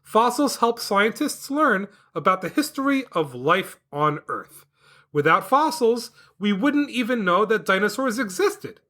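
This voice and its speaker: A man's voice: high-pitched, bright, forceful tone. A man hosting an educational podcast for children, explaining science with upbeat enthusiasm and a sing-song delivery. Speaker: podcast host.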